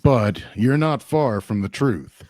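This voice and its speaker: A man playing a big, guarded tavern barkeep, measured and plain-spoken, in a fantasy audio drama table read. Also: medium pitch, animated, darkish tone, dry recording.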